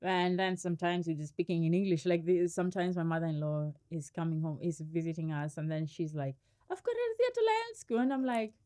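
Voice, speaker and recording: Animated, laughing woman from Kenya. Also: on the low side, a little fast, hardly any pauses. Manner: laughs often, animated storytelling. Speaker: guest. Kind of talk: casual interview chat.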